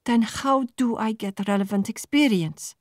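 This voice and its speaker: Narrator doing a young woman, defensive and blunt, high pitched, audiobook character. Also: no echo, studio quality, natural voice. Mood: resentful. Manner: blunt, halting non-native phrasing. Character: young Polish woman job-seeker, defensive, frustrated, blunt.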